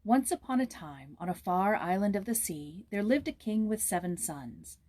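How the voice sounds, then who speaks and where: A woman narrating a children's story aloud in a measured, expressive storytelling manner. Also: medium pitch. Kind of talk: storybook read-aloud with informal introduction.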